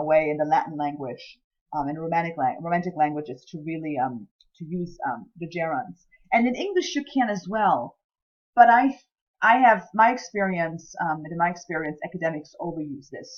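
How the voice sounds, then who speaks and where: A woman's voice: lowish voice, dull audio. A woman whose first language is German, teaching scientific writing in a chatty recorded lecture full of ums and self-deprecating asides. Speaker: writing instructor.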